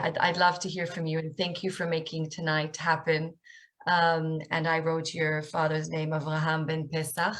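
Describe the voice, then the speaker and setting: A low-voiced Israeli woman in her forties speaks over a video call. She tells a personal story candidly, with plenty of ums along the way, and her voice sits deep for a woman. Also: fairly bright tone. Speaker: guest speaker.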